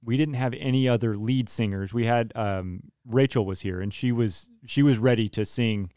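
A young man talks through a personal story in an easygoing podcast conversation, and his voice comes across smooth and resonant. He is earnest and restarts his sentences often. The sound is close and dry, with no room around it. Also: medium pitch, moderate pace, warm tone, dry recording. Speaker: worship leader. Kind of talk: casual podcast chat.

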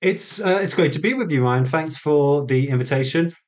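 A man's voice: fairly high pitch, moderate pace, darkish tone. A man speaking as an interview guest in casual conversation, with a hesitant, mildly wry manner.

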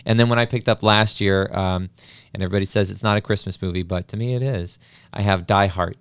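Male story host, resonant and a little deep, dry sound, at home in readings and chat. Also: fairly even intonation, fairly quick, a bit bright, clear, resonant tone, no room sound. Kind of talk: dramatic poem reading and casual chat.

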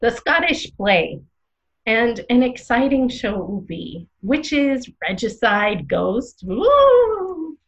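A woman playing an excitable, opinionated small-town arts radio co-host, theatrical and playfully dramatic in scripted comic banter. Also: a little high, slow, heavily acted, low-quality recording. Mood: playful, excited.

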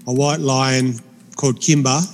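Man with a speech impediment, forties, halting and hesitant, gaming podcast co-host, long reverb tail, faint hiss. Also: mid-range voice, fairly even intonation. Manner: halting, many fillers and restarts. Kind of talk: casual chat.

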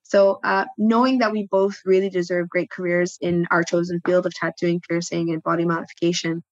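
A woman whose first language is French, a guest speaking reflectively with frequent pauses and restarts. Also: on the low side, flat delivery, dry recording, muffled recording. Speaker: podcast guest, tattoo studio co-owner. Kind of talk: personal interview talk.

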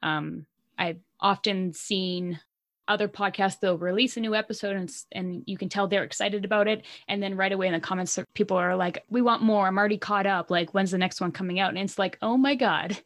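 A woman's voice: medium pitch, fairly even intonation, a little fast. A woman with a Canadian accent co-hosting a podcast, chatting casually and laughing often. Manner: giggly, chatty, lots of "like". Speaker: podcast co-host. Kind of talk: casual chat.

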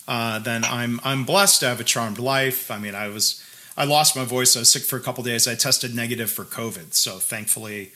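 Male podcast co-host, bright and forward tone, casual and halting with lots of fillers. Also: medium pitch. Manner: halting, many restarts and fillers. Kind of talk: casual conversation.

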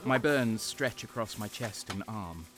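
A man's voice: lowish voice, animated, a little slow, music in the background. A man playing a cynical, guarded wounded guardsman sergeant, narrating a sci-fi war audio drama in a sardonic, brooding way. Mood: grim, wry.

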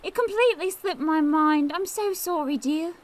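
A woman voicing a kindly elderly female neighbor who fusses over the narrator in a motherly, fretful way. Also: moderate pace. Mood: apologetic. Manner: fretful, motherly, high and thin.

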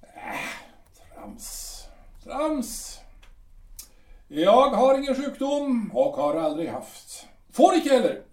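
A proud, bitter old artillery captain, played by a man in an audio drama, full of bluster and contempt. His voice sits high and swings widely as he holds forth in slow, pompous phrases. It sounds roomy and a little distant from the mic. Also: big pitch swings, a little mellow. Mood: scornful. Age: older.

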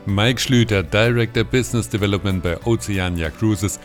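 Tiefe Männerstimme eines Podcast-Moderators. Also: male voice, fairly low pitch, normal speed, faint music behind. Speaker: podcast host. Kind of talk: scripted interview podcast. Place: audio studio.

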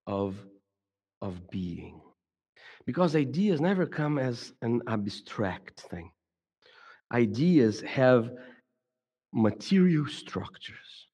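A man's voice: mid-range voice, slightly rough. A middle-aged man from Brazil with a Brazilian Portuguese accent, giving a reflective talk to a congregation and often stumbling over repeated words and restarts. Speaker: speaker at a church gathering. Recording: long hall reverb.

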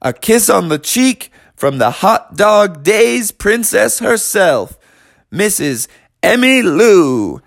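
A man's voice: high voice, sing-song, slow pace, creaky, no echo, studio quality, voice pushed up, full character voice. A man playing a folksy rodeo announcer. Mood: hyping. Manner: drawling folksy grammar, showy. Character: folksy country rodeo announcer, booming showman.

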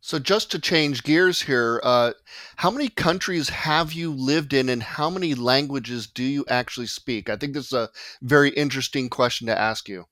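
A man hosting an interview, asking curious, lively questions. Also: medium pitch, normal speed, no echo. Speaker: interview host.

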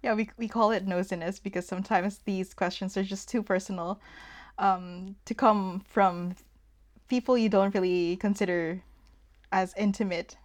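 Young female co-host from the Philippines, easygoing and conversational, pauses to think. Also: mid-range voice, fairly even intonation. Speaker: podcast co-host. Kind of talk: casual podcast chat.

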